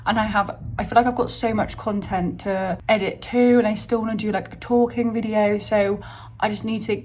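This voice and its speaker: A young woman talks to camera in a relaxed, rambling way, piling on "like" and restarting her sentences as she thinks out loud. Her pitch sits in the middle of the range for a woman. The phone on a tripod by the window picks up a faint hum of room ambience behind her. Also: moderate pace. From Spain. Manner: chatty, rambling, filler-heavy. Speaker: vlogger. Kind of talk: casual vlog monologue to camera. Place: flat in a city, by a window. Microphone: phone on a little tripod.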